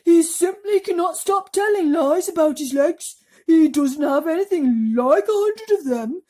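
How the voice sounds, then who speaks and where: Woman doing a prim earthworm, shrill, indignant, very high. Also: lively intonation, unhurried, a little mellow.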